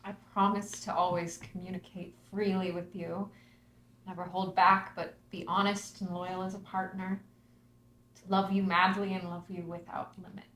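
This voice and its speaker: A witty, affectionate young wife, Maggie, read by a woman at a table read. Her voice is mid-pitched, and it carries a roomy echo over a faint hiss. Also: little pitch movement, normal speed, fairly bright tone, close to an everyday voice. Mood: loving.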